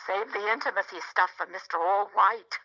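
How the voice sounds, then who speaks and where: A woman's voice: mid-range voice, fairly even intonation, brisk, pushed and bright, lo-fi sound. An actress playing a supportive, teasing woman friend and confidante in an audio play. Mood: amused teasing. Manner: teasing, reactive. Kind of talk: audio theatre acting.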